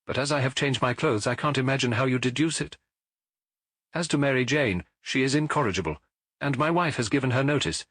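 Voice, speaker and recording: A man voicing a good-natured gentleman doctor in an audiobook, the detective's loyal friend, earnest and incredulous. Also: medium pitch, brisk, no room sound, voice pushed up, lightly acted. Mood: baffled, exasperated.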